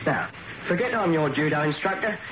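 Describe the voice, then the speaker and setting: An actor playing a hearty, matter-of-fact British military judo instructor in a radio drama. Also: male voice, fairly high pitch, animated, lightly acted. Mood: instructive. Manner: brisk, instructive. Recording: noisy radio drama recording.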